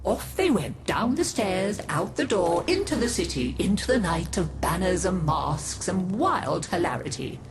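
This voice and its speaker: A woman's voice: fairly low pitch, big pitch swings, noisy background. A woman playing a theatrical old grandmother who narrates gruesome tales for a video game, savouring each phrase dramatically. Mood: macabre relish. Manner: savouring, dramatic, drawn-out delivery.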